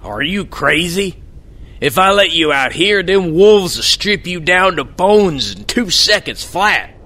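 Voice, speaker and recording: An actor playing a gruff, wary, pious old rustic coachman with a folksy drawl, in a horror audio drama. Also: high-pitched, animated, takes it slowly, bright, forceful tone, exaggerated voice. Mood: alarmed.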